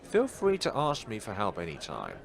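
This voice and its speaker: A man's voice: on the low side, wildly swooping pitch, moderate pace, gravelly, full character voice. A man voicing a cheerful, eager young woman working as a tour guide in a visual novel read-aloud. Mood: cheerful, welcoming. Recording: music playing behind the voice.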